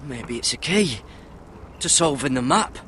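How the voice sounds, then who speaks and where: A man's voice: medium pitch, lively intonation, a little slow, a bit bright. Earnest young fantasy watchman, mid voice, dutiful, asks a lot, slight noise behind. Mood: quiet wonder. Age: young adult. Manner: earnest, questioning. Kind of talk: dramatic fantasy game acting.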